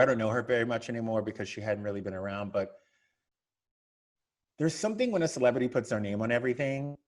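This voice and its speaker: A man speaking as a podcast guest in casual chat, emphatic and repeating words for stress. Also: fairly low pitch.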